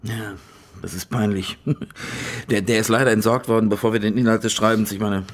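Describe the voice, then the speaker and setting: An actor playing an anxious, blustering stadium boss in a German radio crime drama, stammering and sarcastic, with a husky voice. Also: male voice, fairly low pitch, steady tone, moderate pace, close to an everyday voice. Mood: flustered.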